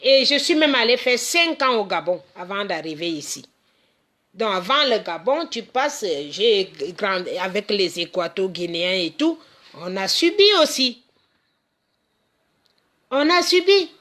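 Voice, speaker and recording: A woman's voice: medium pitch, sing-song, a little slow, a bit bright. A middle-aged woman from Cameroon speaking in an impassioned monologue, emphatic, repeating words and restarting her phrases. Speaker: community association founder.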